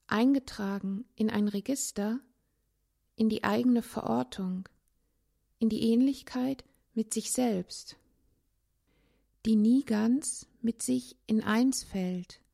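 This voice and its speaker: A woman reading a literary essay aloud in a measured, contemplative way, with pauses between phrases. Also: fairly high pitch, vocal fry, dark tone. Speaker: narrator, language teacher.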